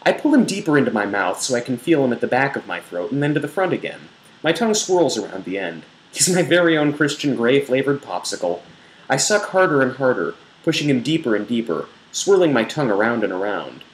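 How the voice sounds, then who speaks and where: A man's voice: medium pitch, sing-song, a little fast. A man giving a theatrical, mock-sensual dramatic reading of an erotic novel. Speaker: blogger reading aloud. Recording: roomy echo, faint background noise.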